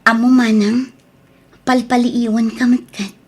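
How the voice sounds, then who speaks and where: A woman's voice: on the high side, little pitch movement, measured pace, husky. A woman acting an earnest younger sister who coaxes and reasons, in an Ilocano radio drama. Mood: earnest coaxing.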